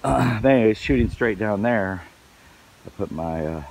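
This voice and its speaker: A hoarse man rambling casually about his work on his wooded property, with lots of fillers. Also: fairly low pitch, animated, moderate pace, darkish tone. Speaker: vlogger. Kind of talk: casual outdoor vlog narration.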